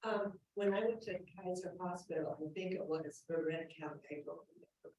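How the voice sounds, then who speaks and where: A woman's voice: lowish voice, brisk, warm tone, highs cut off, slight background noise. An older woman telling a chatty, meandering story at a board meeting. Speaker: board member. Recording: echoey room from far off.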